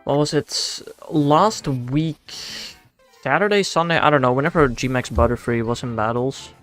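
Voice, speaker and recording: A man's voice: medium pitch, measured pace, fairly bright tone, soft background music. A young man from the Netherlands with a Dutch accent, chatting casually and slangily with his chat while streaming a game. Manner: laid-back and slangy, talks to himself. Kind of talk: casual livestream chat, answering chat while playing.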